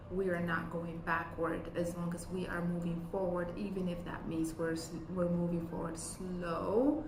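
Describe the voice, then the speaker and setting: A woman from Ethiopia, a business co-founder, talking earnestly in an interview, with many pauses and ums. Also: fairly low pitch. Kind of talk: interview talk. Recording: roomy sound with music behind. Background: music.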